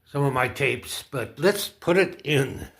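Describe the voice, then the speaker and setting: Slow-talking, folksy man. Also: medium pitch, expressive, gravelly, a bit bright, husky. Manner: folksy, playful, talks himself through steps. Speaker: host of a DIY repair video. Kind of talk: casual hands-on tutorial narration.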